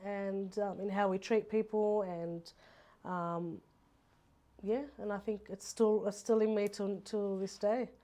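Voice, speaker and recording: Aussie woman, podcast guest, mellow voice, chatting casually and kinda reflective. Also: medium pitch, fairly even intonation, slow pace. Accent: Australian. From Sydney, Australia. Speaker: podcast guest, makeup artist. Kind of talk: casual conversational interview.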